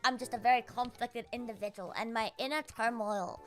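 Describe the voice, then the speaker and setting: A woman's voice: fairly high pitch, fairly even intonation, brisk, a bit bright, faint music behind. A young woman with an Australian accent, chatting casually on a live stream and answering comments with sarcastic, swear-heavy banter and frequent laughter.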